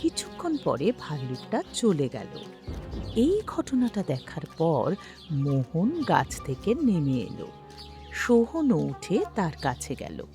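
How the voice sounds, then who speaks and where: A woman's voice: low voice, wildly swooping pitch, takes it slowly, dark tone, faint music behind. A woman narrating a scripted children's story as host, speaking directly to young listeners.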